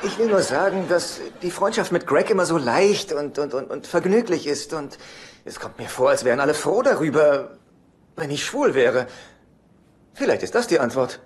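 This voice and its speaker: A man's voice: a little high, lively intonation, moderate pace, lightly acted. An actor playing a neurotic, insecure middle-aged divorced father in a dubbed sitcom, speaking in a hesitant, earnest, fussy way. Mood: confessional, anxious. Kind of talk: German sitcom dubbing.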